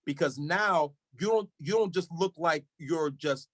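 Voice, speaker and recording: A man's voice: a little high, unhurried, fairly bright tone, dry recording. A man guesting on a podcast, talking casually and animatedly, with lots of "you know" fillers and frequent laughs. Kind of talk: casual chat.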